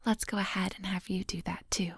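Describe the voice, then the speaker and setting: Breathy, whispering female vampire hypnotist, acted by a woman. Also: medium pitch, steady tone, no echo, studio quality. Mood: coaxing, controlled. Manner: slow, coaxing, hypnotic instructions. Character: female vampire hypnotist, coolly menacing, coaxing and predatory. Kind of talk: whispered ASMR roleplay. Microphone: close microphone, dry.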